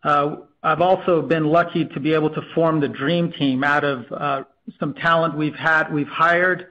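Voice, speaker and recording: Tech exec guy doing a presentation, highish voice, lots of uhs, kinda muffled call sound. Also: fairly even intonation, normal speed, sharp tone. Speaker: company technology executive. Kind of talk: business slide presentation on earnings call.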